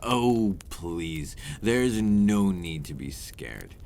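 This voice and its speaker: A man voicing a centuries-old vampire in an ASMR roleplay, a courtly, teasing predator with condescending, formal, old-fashioned phrasing and a deep voice. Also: lively intonation, extremely slow, a little mellow, slight background noise, heavily acted. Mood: teasing, menacing. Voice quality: deep, breathy at moments.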